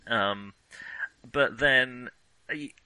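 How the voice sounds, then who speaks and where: A man co-hosting a casual film discussion, with a hesitant, halting way of talking that often restarts phrases. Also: medium pitch, sharp tone, smooth, dry recording, low-quality recording. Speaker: film podcast co-host.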